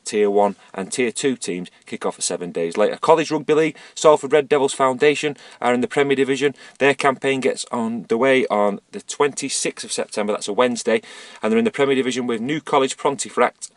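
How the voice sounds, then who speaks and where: A man who is losing his voice, reading amateur rugby league results and fixtures in a steady, list-like way. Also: medium pitch, fairly quick, dry recording.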